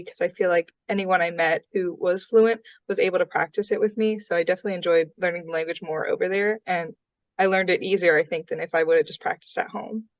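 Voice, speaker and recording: A woman's voice: fairly low pitch, no echo. A young woman student speaking casually and earnestly on a study abroad panel. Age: young adult. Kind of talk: panel discussion. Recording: dry, dull lo-fi audio.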